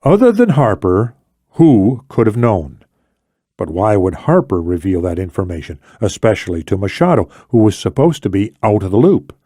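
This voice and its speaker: A man's voice: on the low side, very animated, moderate pace, warm tone, dry recording, clean studio sound. A man reading a thriller audiobook as dramatic scripted narration.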